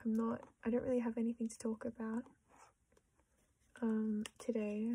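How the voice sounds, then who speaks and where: A young woman with an Australian accent talks slowly and softly, often sliding into a half-whisper as she chats about what she is doing. Her voice is fairly high yet flat in tone, with a mellow, dark colour. A faint hiss sits under the recording. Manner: soft-spoken, often half-whispering, self-deprecating. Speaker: collecting vlogger. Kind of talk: casual chat while sorting cards.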